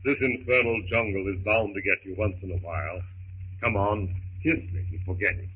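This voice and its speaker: A man's voice: fairly low pitch, normal speed, lightly acted. A man playing a bluff, reassuring English husband and jungle adventurer in a 1930s radio drama style. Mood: soothing. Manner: bluff, jaunty, soothing. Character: hearty, reassuring English husband, stiff-upper-lip colonial adventurer. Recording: muffled, hissy 1930s radio recording.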